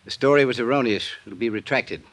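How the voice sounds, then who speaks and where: An actor as a guarded, courteous company executive. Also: male voice, medium pitch, a bit bright, natural voice. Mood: guarded, confiding. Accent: American. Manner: measured, polite, hesitant when confiding. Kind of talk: 1940s-50s radio acting.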